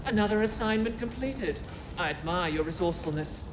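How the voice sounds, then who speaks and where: A woman's voice: lowish voice, lively intonation, fairly quick, noisy background, lightly acted. A woman playing a cool, articulate futuristic operative in a video game, formal and dryly threatening. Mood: composed, dry. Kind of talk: video game character acting.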